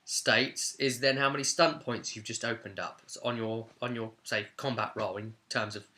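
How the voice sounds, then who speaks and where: A man's voice: mid-range voice, steady tone, normal speed, pushed and bright. A man presenting an informal explainer on game rules in an enthusiastic, conversational manner. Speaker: presenter of a tabletop game guide.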